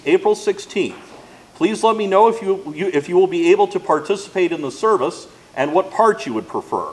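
A man with an American accent and a notably high voice. He speaks as a pastor, delivering practical announcements to a congregation. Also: poor audio. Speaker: pastor giving church announcements. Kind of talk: church announcements.

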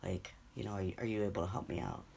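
Low, raspy-voiced woman podcaster. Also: fairly even intonation, very fast, a crowd in the background. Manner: frank, opinionated, laughs often. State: raspy from a cold. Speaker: podcast co-host. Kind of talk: casual chat.

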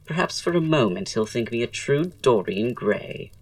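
A woman's voice: extremely low pitch, animated, moderate pace, dry recording, recorded from a distance. A woman acting in an audio drama as a flamboyant, witty, teasing male Victorian playwright, with a theatrical drawl and arch, florid wording. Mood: reminiscing, grand.

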